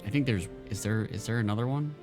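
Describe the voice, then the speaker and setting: A man's voice: on the low side, fast, music in the background. A man streaming a game, chatting casually and rambling with lots of fillers and restarts. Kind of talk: casual chat while gaming.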